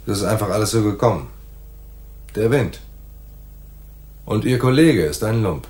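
A man's voice: fairly low pitch, expressive, normal speed, darkish tone, close to an everyday voice. A man playing a laconic hardboiled private detective in a radio noir drama, dry and matter-of-fact. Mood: dry, firm. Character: laconic hardboiled male private detective narrating his case. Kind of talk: 1980s radio noir narration and dialogue.

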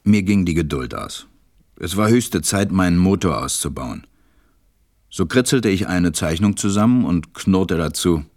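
A man playing a serious stranded pilot who narrates his story in a measured, deliberate way in an audio drama. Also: low voice, animated, a little mellow, no echo, studio quality. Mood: reflective, wry. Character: stranded adult male pilot, serious narrator recalling events.

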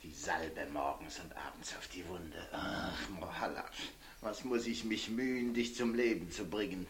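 An actor playing a fawning, morbidly affectionate executioner in a German radio play, chatty and theatrical, muttering and gushing by turns. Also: male voice, deep voice, unhurried. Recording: noisy old radio recording with clicks.

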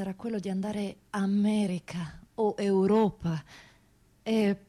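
A woman playing a young trafficked migrant woman, telling her hardship in a measured radio-theatre monologue with short halting phrases. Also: mid-range voice, darkish tone, close to an everyday voice.

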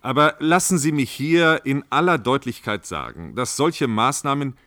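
An actor in a radio play as an evasive government spokesman, speaking in a measured, bureaucratic, placating way. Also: medium pitch, expressive, bright, forceful tone, dry recording, clean studio sound. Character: evasive, appeasing male government spokesman playing down a crisis. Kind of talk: radio play acting as a panel discussion guest.

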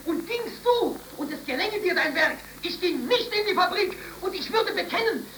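An impassioned young worker, very high strained voice, distant old 1930s radio recording. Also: male voice, lively intonation, normal speed, bright, forceful tone, roomy sound, slight background noise, full character voice. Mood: defiant, indignant. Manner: declamatory, impassioned. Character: defiant, principled young unemployed working-class son. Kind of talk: 1930s German radio play acting.

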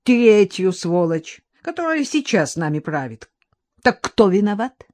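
A woman's voice: mid-range voice, a little mellow. A woman voicing a bitter older man who talks harshly, sarcastically and in angry bursts.

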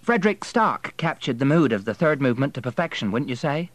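A man's voice: mid-range voice, big pitch swings, brisk, no echo, voice pushed up. A man voicing a proud, earnest young Polish immigrant suitor with a slight accent and a formal, pleading manner. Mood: dismayed, pleading.

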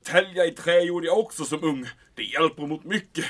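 Man acting a high-pitched sentimental major. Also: a bit bright. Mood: interested. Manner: peppers Swedish with German words. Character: sentimental German army major in occupied Sweden. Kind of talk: comedic audio drama acting.

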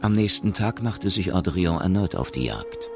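A man's voice: sits low, very animated, moderate pace, vocal fry, music in the background. A man reading scripted narration as the narrator of a science fiction radio drama.

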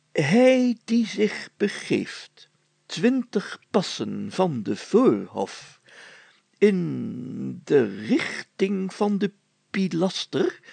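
A man voicing a self-important village mayor in a story, halting and hemming, given to solemn declaiming. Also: fairly high pitch, creaky, a little mellow, husky, dry recording, heavily acted. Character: pompous village mayor reading old documents aloud, self-important, deliberate.

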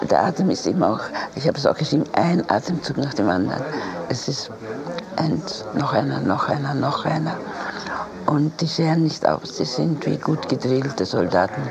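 A person speaking reflectively and haltingly, pausing and repeating words. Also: medium pitch, animated, vocal fry, crowd noise. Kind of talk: reflective spontaneous speech.

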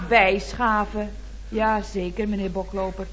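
An actress playing a chatty, cheeky office secretary in an old radio comedy, mock-polite and playfully teasing. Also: female voice, medium pitch, little pitch movement, a little slow, a bit bright, muffled recording, lightly acted. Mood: teasing, mock concern. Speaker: actor in a radio comedy serial. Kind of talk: 1970s Dutch radio comedy acting. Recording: noisy, dated radio recording.

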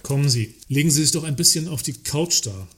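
An actor playing a works councillor who is politely needling yet placating, speaking in a satirical radio play. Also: male voice, fairly high pitch, expressive, fairly quick, fairly bright tone, a little hiss or hum. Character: male works councillor, mildly mocking yet placating the boss. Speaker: actor in a satirical audio drama. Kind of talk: German radio play dialogue.

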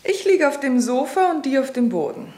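An actress playing a bored, restless young society wife in a radio drama. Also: female voice, high voice, very animated, normal speed, warm tone, slight background noise.

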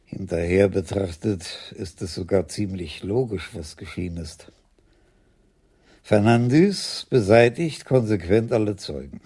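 An actor playing a shrewd, sober senior police commissioner in a 1980s German radio crime play. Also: male voice, low-pitched, animated, measured pace, mellow, slightly rough, full character voice. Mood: pensive.

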